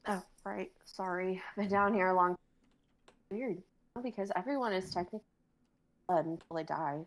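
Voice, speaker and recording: A woman's voice: on the low side, moderate pace, natural voice. A woman playing a quirky, casual underworld guide in a dramatic script reading. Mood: breezy.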